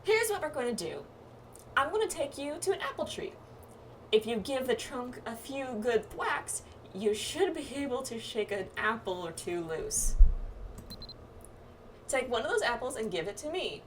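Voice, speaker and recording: A woman's voice: fairly high pitch, sing-song, normal speed, a little hiss or hum. A woman voicing a breezy, confident astrologer guide from a fantasy game, chatty and teasing as she reads quest dialogue aloud. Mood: brisk, instructing. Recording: distant mic, music behind.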